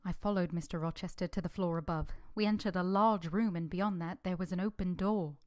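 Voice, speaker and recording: Casting: a woman playing devoted young servant heroine, slightly low voice, earnest and composed, close dry sound, vintage radio drama. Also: steady tone, darkish tone, no echo, faint music behind, natural voice. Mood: solemn narrating. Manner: earnest, deferential, measured. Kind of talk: old-time radio drama acting and narration.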